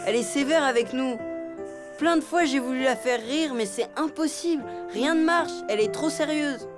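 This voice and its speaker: A cheeky little cartoon schoolboy, voiced by a woman. The voice is high and childlike, with a restless, clownish streak that easily turns sulky. Music plays behind it. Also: normal speed, lightly acted. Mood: frustrated. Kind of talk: French children's cartoon dialogue. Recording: music underneath.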